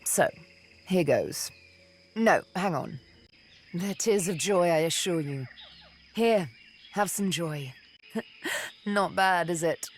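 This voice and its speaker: An actress playing a haunted, wistful young elven woman in a video game, telling her tale in deliberate, poetic, theatrical phrases. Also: lowish voice, animated, slight background noise. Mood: wry, reflective. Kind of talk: dramatic video game dialogue, intimate storytelling.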